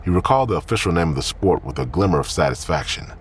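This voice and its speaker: A man narrating scripted crime fiction in a grim, deliberate storytelling manner. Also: sits low, expressive, slightly rough.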